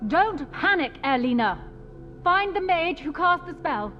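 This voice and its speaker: High, echoing captive noblewoman. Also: sing-song, a little slow, soft background music, exaggerated voice. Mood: composed, urgent. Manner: formal, poised. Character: composed, commanding captive noblewoman. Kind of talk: video game cutscene acting. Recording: dull, reverberant sound, as through a door.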